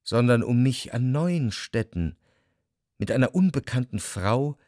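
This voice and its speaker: An actor playing a sensitive, refined, melancholic narrator in a literary radio drama, speaking in a measured, lyrical way. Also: male voice, medium pitch, expressive, darkish tone, no room sound, studio quality, close to an everyday voice. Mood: yearning, reflective. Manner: measured, reflective, lyrical delivery.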